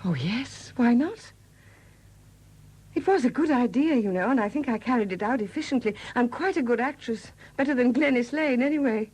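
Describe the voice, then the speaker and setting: An actress in a 1970s British radio drama playing a widowed murderess who confesses in a hesitant, confiding manner. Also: female voice, a little high, expressive, normal speed, darkish tone, slightly rough. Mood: defiant. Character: quiet confessing murderess, a Welsh sculptor's widow.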